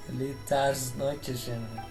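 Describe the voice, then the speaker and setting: Woman streamer, very low voice, rapid casual commentary, game music. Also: fairly even intonation. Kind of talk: casual commentary over gameplay.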